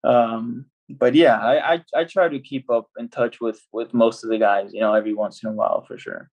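A man's voice: medium pitch, normal speed, highs cut off. Young man rambling on a video call. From El Salvador. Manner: relaxed, rambling with many restarts. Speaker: professional footballer, guest. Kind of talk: casual video-call interview. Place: small home room. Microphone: laptop video call.

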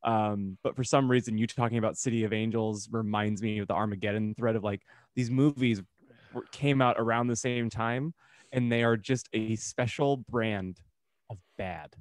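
A man's voice: on the low side, moderate pace, dry recording. A man co-hosting a podcast in casual, joking banter. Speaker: podcast co-host. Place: home room, online group call. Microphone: close podcast microphone.

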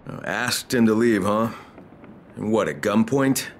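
An actor playing a persistent outsider hero in a video game, casual and direct, pressing with questions. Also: male voice, lowish voice, animated, unhurried, slight background noise. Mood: questioning, insistent. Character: gruff, persistent male city outsider hero. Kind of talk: video game cutscene acting.

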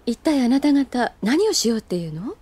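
A high, ladylike voice as a senior schoolgirl. She is poised and aloof, speaking in anime audio drama style with music in the background. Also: big pitch swings, moderate pace, a little mellow, breathy edge. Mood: cool, composed.

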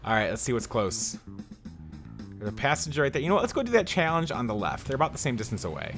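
A man giving casual, excitable let's-play commentary while he drives in a game, thinking aloud. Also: mid-range voice, fast. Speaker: gaming YouTuber. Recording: game music behind.

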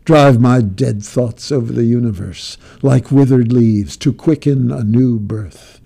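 A man giving a slow, grave, declamatory reading of a poem, swelling and then dropping to a hush. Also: medium pitch, creaky. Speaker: poetry reader. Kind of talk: dramatic poetry recitation.

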